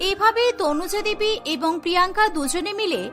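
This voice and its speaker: A woman's voice: extremely high pitch, brisk, a bit bright. A woman narrating a scripted cartoon story. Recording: music behind the voice. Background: music.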